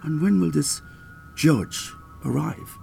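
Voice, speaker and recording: An actor playing an anguished, frightened and remorseful old father in a radio play, halting and pleading. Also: a little high, gravelly, a little mellow, slight background noise, heavily acted. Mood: anxious.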